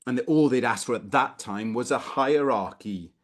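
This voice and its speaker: A man's voice: medium pitch, normal speed. Male, middle-aged, from Wales, mid-range voice. Legal webinar presenter, conversational and informal, on a video call. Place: small room indoors, video call.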